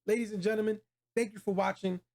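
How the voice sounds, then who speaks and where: A man's voice: high-pitched, fairly even intonation, fast talker, creaky, a little mellow. A man hosting a casual movie review chat, speaking in a slangy, emphatic, opinionated way. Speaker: host of a video game movie review show.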